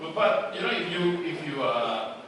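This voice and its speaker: A man from Indonesia tells comic stories from his life as a music teacher, speaking in a chatty way. He often restarts his words, and his voice sits a little high. He is recorded from a distance in a very echoey venue, with clear noise in the background. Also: lively intonation, normal speed. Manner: chatty, restarts words, many "you know" tags. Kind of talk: stand-up comedy storytelling. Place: concert venue with audience. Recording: echoey room from far off, with noise.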